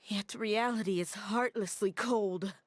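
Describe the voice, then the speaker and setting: A woman's voice: mid-range voice, measured pace, dry recording, natural voice. Woman acting a wistful, grieving young woman, dry sound. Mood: wistful, sad. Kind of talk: video game character acting.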